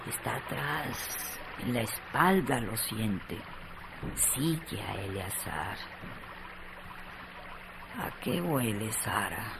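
A man narrating a literary radio drama in a deliberate, dramatic way. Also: fairly low pitch, expressive, creaky, noisy background.

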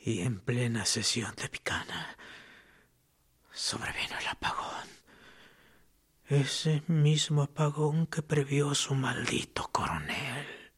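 An actor playing a battered male political prisoner in a radio drama, whispering hoarsely with bitter, mocking defiance. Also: mid-range voice, lively intonation, takes it slowly, long pauses, a bit bright, full character voice. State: exhausted from torture.

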